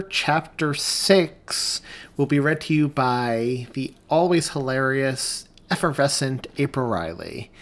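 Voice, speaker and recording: Male podcast host introducing an episode. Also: mid-range voice.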